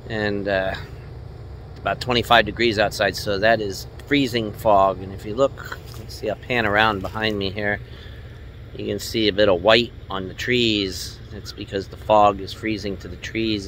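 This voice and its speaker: An American man, a truck driver, talking casually and unscripted to camera in a rambling, plainspoken way. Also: fairly bright tone. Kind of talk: casual vlog narration to camera. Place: outdoors by trucks and in a moving truck cab. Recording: noisy outdoor and truck cab, engine rumble. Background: vehicle and engine noise.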